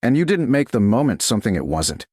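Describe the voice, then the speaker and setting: A man voicing a composed, resolute noblewoman in a romance story, speaking quietly and evenly. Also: mid-range voice, very animated, fast talker, breathy edge, dry recording, studio quality.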